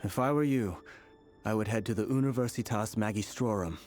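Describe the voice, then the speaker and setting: An actor playing a grave, mysterious, weary prisoner in a video game, speaking solemnly and deliberately. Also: male voice, lowish voice, a little mellow, soft background music, lightly acted. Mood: solemn, warning. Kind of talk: scripted video game dialogue.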